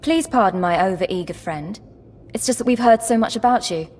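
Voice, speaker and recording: An actress playing a young female Sith acolyte in a video game, scheming, polite, measured and wryly persuasive. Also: medium pitch, darkish tone, lightly acted. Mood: smooth, ingratiating.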